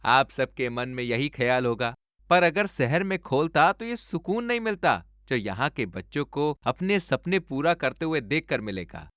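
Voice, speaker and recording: A man's voice: mid-range voice, sing-song, normal speed, fairly bright tone, no room sound, clean studio sound. Man playing an earnest young village engineer. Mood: persuasive. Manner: sincere, polite.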